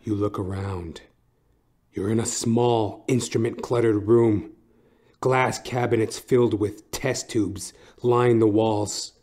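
A man narrating a scripted horror story in a deliberate, dramatic, suspenseful manner. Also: lowish voice, fairly even intonation, darkish tone. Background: music.

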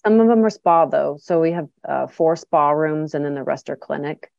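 A woman's voice: low voice, normal speed, creaky, darkish tone, dry recording. A middle-aged woman, a clinic director, answering interview questions in a chatty way with lots of ums and restarts. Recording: dull, dry lo-fi sound.

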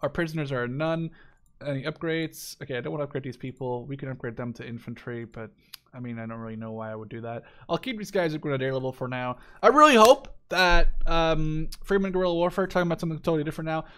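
A man in his twenties with a fairly high-pitched voice talks over a video game. He rambles casually as the host of a let's play, circling back over his own phrases and swearing offhandedly. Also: fast, sharp tone, clear, resonant tone. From Netherlands. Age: 20s.

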